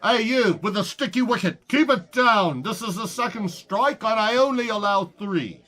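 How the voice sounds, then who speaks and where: A man's voice: high voice, moderate pace, a bit bright. A man voicing a folksy, showy doll emcee who announces a show and polices the crowd in a puppet film. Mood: scolding. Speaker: event host.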